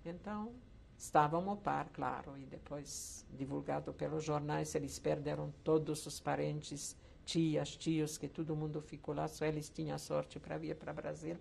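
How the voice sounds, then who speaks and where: An older woman from Czechoslovakia, once a camp survivor, telling her life story slowly with hesitant pauses in an oral history interview. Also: deep voice, level tone, creaky, darkish tone, slight background noise. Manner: slow reflective storytelling with hesitant fillers.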